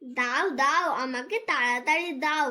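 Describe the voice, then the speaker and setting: Cartoon casting: hungry, ailing village boy, played by a woman, high pitch, childlike pleading delivery. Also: a little slow, pushed and bright. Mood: pleading, eager. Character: sick, hungry little village boy, younger brother. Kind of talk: Bengali cartoon folk-tale dubbing.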